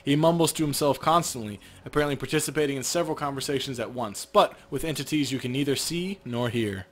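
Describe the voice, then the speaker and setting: A man's voice: medium pitch, fast talker. A man co-hosting a let's play, chatting casually and reading game text aloud.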